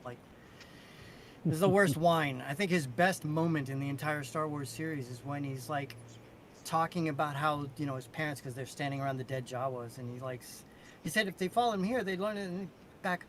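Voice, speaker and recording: A man's voice: a little high, fairly quick, a little hiss or hum. A man chatting casually and enthusiastically on a podcast panel, making points in short emphatic statements. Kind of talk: casual fan chat.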